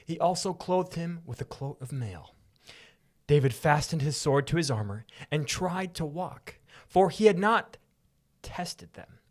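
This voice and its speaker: Animated podcast narrator with lively pitch. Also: unhurried. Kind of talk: lively scripture narration and casual banter.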